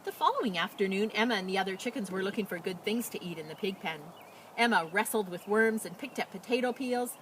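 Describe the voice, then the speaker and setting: A woman speaking as a school storyteller outdoors, explaining and reading in a simple, animated way for children. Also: mid-range voice, fast. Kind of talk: casual introduction and expressive children's read-aloud. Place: outdoors beside a chicken coop. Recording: noisy outdoor recording with animal sounds.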